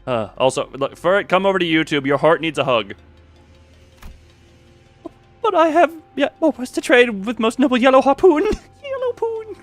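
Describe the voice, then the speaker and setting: A man livestreaming a story game, reading aloud and commenting in an excitable, sweary, giggly way. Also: very high voice, moderate pace, pauses often. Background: music.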